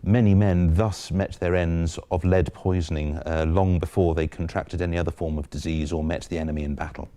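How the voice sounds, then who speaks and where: A man speaking in a documentary interview, deliberate and dropping frequent fillers. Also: deep voice, fairly even intonation, dark tone.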